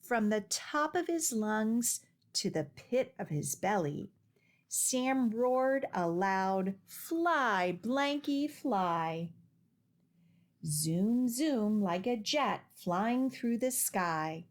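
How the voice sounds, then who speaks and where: A woman reading a children's picture book aloud with expressive, deliberate and rhythmic phrasing. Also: on the high side. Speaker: children's storytime reader.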